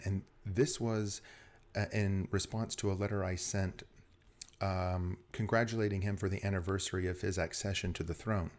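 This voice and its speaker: A man's voice: deep voice, creaky, a little mellow. A man hosting a casual video show, chatty and explanatory, his voice a little scratchy today. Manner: chatty, explanatory, occasional small laughs. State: scratchy voice from weather change. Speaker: host.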